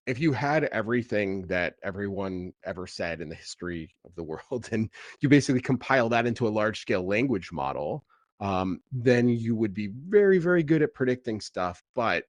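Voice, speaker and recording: Man, deep voice, tech founder guest, casual explaining, halting with repeated words, dry recording. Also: no echo.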